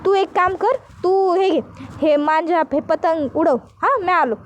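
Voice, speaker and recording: A woman's voice: very high voice, unhurried, a little hiss or hum. A woman voicing a cheerful village wife who speaks with a teasing, coaxing manner. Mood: playful.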